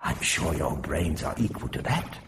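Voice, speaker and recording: A man voicing a polite, wily old scientist in an audiobook story, gently persistent in manner. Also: very deep voice, lively intonation, moderate pace, big-room sound, background noise. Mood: calmly stubborn.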